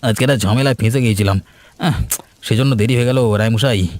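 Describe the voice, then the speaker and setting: A man's voice: medium pitch, fast, lightly acted. A man voicing a shifty, cowardly village schemer in a cartoon folk tale, blustering and whiny, stammering when cornered. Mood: scheming, wheedling. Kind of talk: animated folk tale voice acting.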